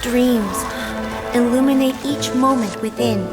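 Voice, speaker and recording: A calm, graceful ruler, played by a woman, speaks softly and solemnly in a fairly high voice, each phrase tender and measured. A loud, sweeping game score fills the space behind her. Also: over music, natural voice. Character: gentle, serene former ruler woman, wistful. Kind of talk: video game voice acting.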